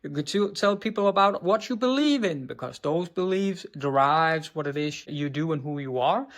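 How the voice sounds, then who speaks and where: A man with a Danish accent coaches on business in a blunt, profane monologue, his voice pitched a little high. He tacks "right?" onto his points and trips over words, then just keeps going. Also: animated, moderate pace. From Denmark. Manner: stumbles and restarts words, unbothered. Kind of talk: direct-to-camera monologue.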